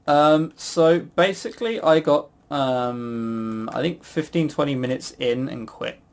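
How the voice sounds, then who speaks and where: A man co-hosting a review show in casual, informal chat, speaking in a halting way. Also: mid-range voice, creaky. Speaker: co-host of a visual novel review show.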